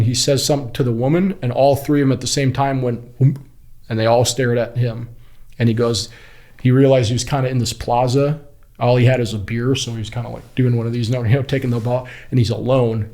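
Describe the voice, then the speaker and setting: A man telling anecdotes casually as an interview guest, in informal, slangy phrasing and acting out what people said. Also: mid-range voice. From Kuwait. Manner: animated retelling with acted-out quotes, informal slangy phrasing. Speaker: podcast guest, body-language instructor and former US Marine.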